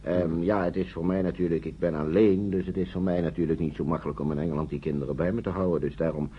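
An actor playing a gentle, courteous older man in a radio play, speaking politely and reassuringly with some hesitation. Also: sits low, steady tone, fast talker, close to an everyday voice. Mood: earnest, reflective. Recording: muffled, noisy old radio drama recording.

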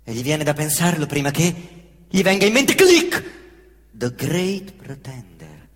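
A man acting a young Roman street hustler in a stage monologue, with a Roman accent, veering from muttering to shouting and cursing. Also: on the high side, sing-song, measured pace. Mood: frantic. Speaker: stage actor. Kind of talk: theatrical monologue. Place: theatre stage. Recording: echoey theatre reverb.

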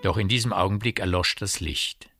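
Radio play narrator: deep, measured literary storytelling over instrumental music. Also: male voice, fairly even intonation, gravelly, pushed and bright, full character voice.

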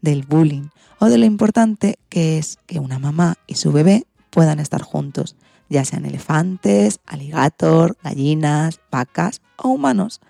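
Woman host with a spain accent, low mellow voice, bit of creak, reading her segment. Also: lively intonation, measured pace, no echo, soft background music. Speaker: radio programme host. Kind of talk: scripted radio segment narration.

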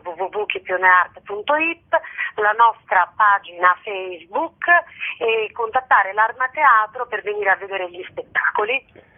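Over a narrow, tinny telephone line, a woman speaks at a medium pitch. She is a radio interview guest who explains her work in articulate, wordy sentences. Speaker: interview guest, festival curator.

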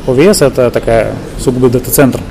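A man interviewing informally, halting and rephrasing his questions as he goes. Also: mid-range voice. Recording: clear crowd noise behind. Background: conference crowd.

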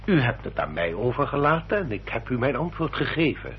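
An actor playing a courtly, insistent gentleman suitor in a radio play, formal and emphatic. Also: mid-range voice, big pitch swings, brisk. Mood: courteous, insistent. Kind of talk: Dutch radio play acting. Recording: dull, noisy old radio recording.

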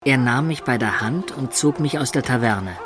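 A man's voice: mid-range voice, lively intonation, moderate pace, close to an everyday voice. An actor playing a medieval adventurer who recounts his own tale as narrator of a radio play. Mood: storytelling. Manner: descriptive storytelling. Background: music behind the voice.

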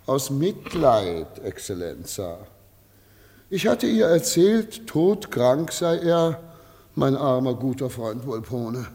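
A man's voice: mid-range voice, sing-song, takes it slowly, mellow. A male actor playing a falsely compassionate, mournful husband in a theatrical German radio play, plaintive in a reverberant hall. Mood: feigned sorrow. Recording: distant reverberant hall sound.

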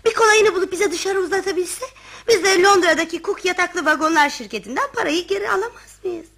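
An actress playing a vain, flirtatious society wife in a radio comedy, chattering coquettishly. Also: female voice, extremely high pitch, brisk, creaky, a bit bright, exaggerated voice. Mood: scheming, eager. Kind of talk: radio theatre comedy acting.

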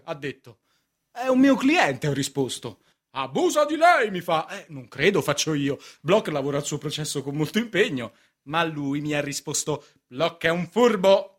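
An actor playing a self-important, ailing lawyer in a radio drama, theatrical, cajoling and patronising. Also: male voice, sits high, animated, normal speed, fairly bright tone. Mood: boastful, mimicking. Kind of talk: radio stage drama acting in Italian.

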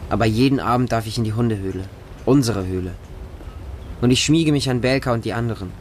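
An actor playing a frightened, devoted street child who lives with dogs, telling the story in short, simple sentences for a radio drama. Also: male voice, medium pitch, fast, a little hiss or hum, natural voice. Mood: plaintive, earnest. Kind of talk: first-person radio drama monologue. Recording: music under the voice. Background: music.

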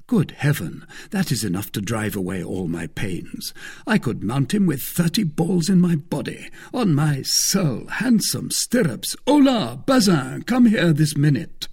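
An excitable young gentleman musketeer from a storybook, acted out by a male reader. His pitch sits high and leaps about, with a husky edge. He exclaims proudly, stretching his words in vain astonishment. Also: a little slow, heavily acted. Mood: excited. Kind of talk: storybook character dialogue.

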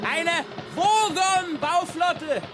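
An actor in a radio drama playing a blunt, unfazed friend who takes doomsday matter-of-factly. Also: male voice, very high-pitched, animated, drawn-out words, heavily acted. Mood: urgent. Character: brisk, matter-of-fact male friend urging action before doomsday.

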